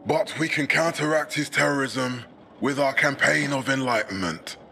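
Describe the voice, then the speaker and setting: An actor playing a zealous propagandist who talks with impassioned scorn. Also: male voice, medium pitch, moderate pace, gravelly, pushed and bright, a little hiss or hum. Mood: fervent.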